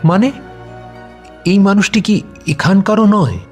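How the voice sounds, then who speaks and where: A man's voice: sits high, takes it slowly, darkish tone. A man acting a curious, earnest young city visitor in an audio drama, with music behind him. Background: music bed with cricket sounds.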